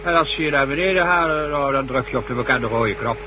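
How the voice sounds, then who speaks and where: A man's voice: mid-range voice, fast, natural voice. A man acting a blunt, authoritative official in a Dutch radio drama, commanding and dry as he briefs others. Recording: noisy old radio drama recording with hum.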